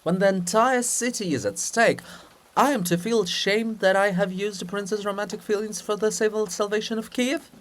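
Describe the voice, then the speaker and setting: A man's voice: sits high, moderate pace, voice pushed up, lightly acted. Man acting an emphatic, scheming scholar statue. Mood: defiant. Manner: emphatic, rhetorical.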